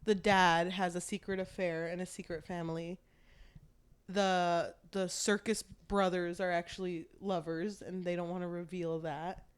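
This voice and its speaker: A woman's voice: fairly low pitch, flat delivery, measured pace, smooth. A woman co-hosting a book podcast in casual conversation, joking and swearing freely. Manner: irreverent, swears freely, jokey. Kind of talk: casual book discussion chat.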